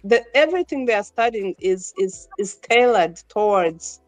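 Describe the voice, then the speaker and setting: A woman's voice: medium pitch, expressive, normal speed, a little mellow, dry recording, soft background music, rough recording. A woman who grew up in Uganda, a podcast guest talking casually about moving abroad with her children, laughing often and restarting her sentences. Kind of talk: casual interview conversation.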